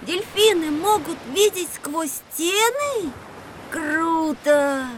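A woman playing a curious, excitable schoolchild with a teasing streak in a scripted children's educational audio drama. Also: very high voice, slow pace, a little hiss or hum, exaggerated voice. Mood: eager, curious. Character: curious, excitable, slightly skeptical schoolchild on a science trip.

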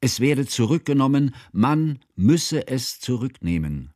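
An actor playing a grieving, learned older man who narrates a literary radio drama in solemn, measured, ornate phrases about his friend. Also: medium pitch, very animated, a little mellow, dry recording, studio quality. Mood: mournful.

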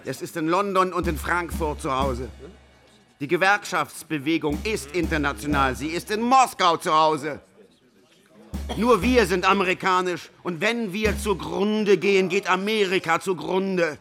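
An actor in a radio play playing a pompous, formal ex-president turned demagogue, with an oratorical way of speaking. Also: male voice, high-pitched, unhurried, pushed and bright, soft background music, exaggerated voice. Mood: rabble-rousing oratory.

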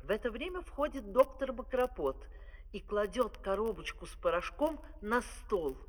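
A man's voice: sits high, sing-song, moderate pace. A man narrating a comic fairy-tale opera as its storyteller, in a scripted theatrical style. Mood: vivid, expository. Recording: vintage vinyl record, hall reverb.

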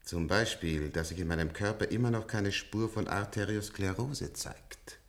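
An actor playing an ancient, sardonic and imperious transplant patient in a German science-fiction radio play, with an ironic, drawn-out theatrical delivery. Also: male voice, fairly low pitch, steady tone, close to an everyday voice. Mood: bitter, brooding. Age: older.

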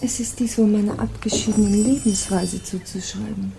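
A woman playing a courtly, flattering princess in a radio drama, speaking in ornate, ceremonious and effusively polite phrases. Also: medium pitch, normal speed, gravelly, over music, lightly acted, rough recording. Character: courtly, flattering princess visiting a pirate ship. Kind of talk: German radio drama acting.

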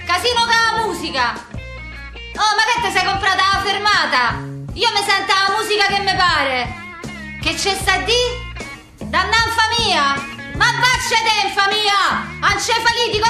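An actress playing a brash, foul-mouthed young working-class woman with a Roman accent, in a combative, slangy theatrical monologue. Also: very high-pitched. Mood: furious, defiant. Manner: slangy, combative, rambling street talk. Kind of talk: theatrical comic monologue for radio.

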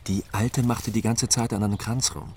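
A man's voice: fairly low pitch, fairly even intonation, normal speed, a little mellow, slight background noise, close to an everyday voice. An actor playing a blunt, wry man recounting events in a dry, interview-like radio drama narration. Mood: dry, exasperated. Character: blunt, wry male friend recounting funeral arrangements.

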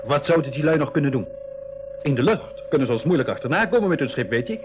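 An actor playing an eager young adventurer nephew who narrates and talks in a radio drama. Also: male voice, a little high, big pitch swings, quick pace, background noise. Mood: spirited. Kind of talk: 1980s school radio drama, narration and dialogue. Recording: dull, muffled radio play recording.

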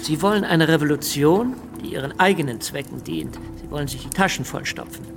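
An actor in a German radio drama playing a principled, suspicious revolutionary politician who speaks deliberately and emphatically. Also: male voice, fairly high pitch, vocal fry. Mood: bitter, insistent.

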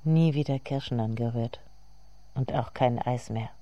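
A brooding inner voice from a poetic radio play , performed by an actor. It is dark and creaky, speaking in a hushed, incantatory way as it reflects on memory. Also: medium pitch, a little slow, lightly acted. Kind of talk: poetic radio drama monologue.

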